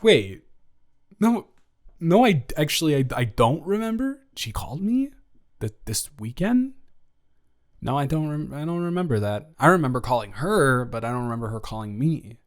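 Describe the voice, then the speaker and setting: A man's voice: fairly high pitch, sing-song, moderate pace. A man playing a young, teasing, easily flustered best friend in an improvised audio roleplay, joking and rambling with stammered restarts. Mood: rambling, curious. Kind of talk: improvised audio roleplay, casual one-sided conversation.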